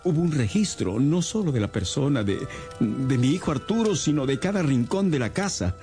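A troubled, earnest gentleman of middle age, played by a male actor in a radio drama. His voice is soft-edged and mellow, with agitation running through it, and music plays faintly underneath. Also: mid-range voice, animated, moderate pace, lightly acted. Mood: anguished narrating. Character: distressed respectable older father, a Victorian gentleman. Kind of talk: Spanish-language radio drama acting.

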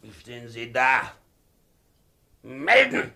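An actor playing a drunken middle-aged ship's captain in a 1950s German radio play, barking orders and drifting into dreamy muttering. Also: male voice, mid-range voice, big pitch swings, takes it slowly, sharp tone, heavily acted. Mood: barking, grandiose. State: drunk, rambling. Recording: noisy 1950s radio recording.

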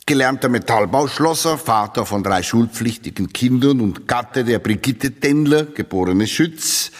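A man reading a scripted satirical literary monologue with mock-solemn, deliberate phrasing. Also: mid-range voice. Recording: long hall reverb on voice.

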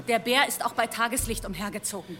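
A woman's voice: on the high side, fast, music in the background, natural voice. An actress playing a worried, insistent village mother full of foreboding in an audio drama. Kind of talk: German audio drama acting.

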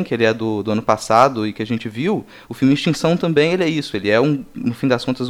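A Brazilian man with a medium-pitched voice speaks as a discussion host. He lays out film commentary in an explanatory, conversational manner, restating points as he goes. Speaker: podcast host.

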